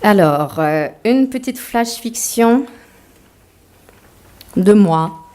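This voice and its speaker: A woman's voice: medium pitch, animated, drawn-out words, a little mellow. A woman author giving a talk to an audience, mixing a prepared lecture with playful, self-mocking asides.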